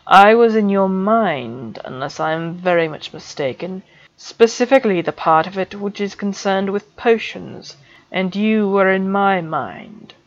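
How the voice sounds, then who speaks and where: A woman doing a stern, slow-talking professor. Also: mid-range voice, lively intonation. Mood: cold. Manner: slow, measured and clipped. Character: stern male potions professor, cold and controlled. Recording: dull lo-fi recording.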